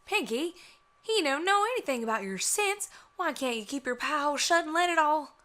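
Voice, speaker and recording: A woman's voice: sits high, animated, a little fast, bright, forceful tone. A woman voicing a stubborn, plain-spoken country farm mare with a folksy Southern US accent in a fan audio story. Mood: scolding. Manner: folksy, exclaiming.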